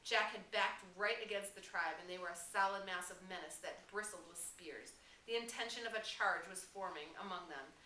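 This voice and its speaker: A woman reading a novel aloud as dramatic, expressive audiobook narration. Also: on the low side, normal speed. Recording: roomy, distant mic, faint noise.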